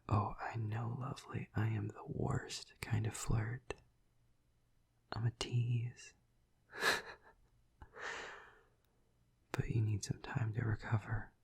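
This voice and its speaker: A man playing a flirty, tender vampire lover in an intimate ASMR roleplay, half-whispering in a breathy, husky voice with hesitant, teasing murmurs. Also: on the low side, level tone, slow pace, long pauses, full character voice.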